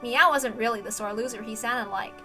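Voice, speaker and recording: A woman reading dramatic scripted narration in a measured, deliberate manner. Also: a little high, bright, forceful tone, faint music behind. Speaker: narrator, reader.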